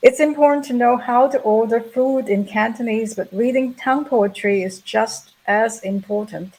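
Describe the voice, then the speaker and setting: A middle-aged woman giving a measured, explanatory plenary lecture at an academic conference. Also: on the high side, a little mellow, rough recording. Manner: measured, explanatory, reading prepared remarks. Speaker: plenary speaker.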